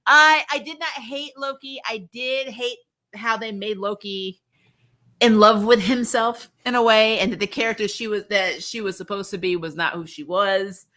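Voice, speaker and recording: A woman hosting a casual fan talk, blunt and emphatic, lightly swearing and quick to laugh. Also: fairly high pitch, bright, forceful tone. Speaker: host of a fan review show. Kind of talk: casual chat, answering viewer comments.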